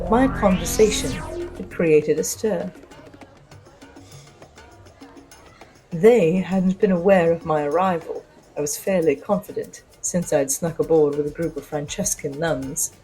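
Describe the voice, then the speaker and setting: A woman playing a witty, self-assured spy and socialite, narrating her own adventure with arch, wry, theatrical pauses. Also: on the low side, poor audio. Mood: composed, sly. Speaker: narrator of an audio drama. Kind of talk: first-person scripted dramatic narration.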